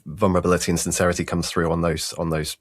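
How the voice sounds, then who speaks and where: A man talking casually as a sound designer in an interview, in halting, loose phrases. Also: low-pitched, little pitch movement, slightly rough, no room sound, clean studio sound.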